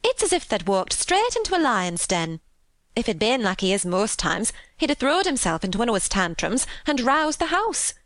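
A woman's voice: a little high, sing-song, normal speed, a bit bright, no echo, pitched above the performer's own voice. A woman voicing an agitated, plain-spoken young housemaid in an audiobook. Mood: amazed. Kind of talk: audiobook character voice.

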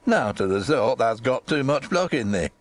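A woman's voice: very deep voice, animated, normal speed, dry recording, voice pushed down. A woman voicing a crabbed but tender old male gardener from a storybook, testy and dry, in Yorkshire dialect. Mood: dry exultation.

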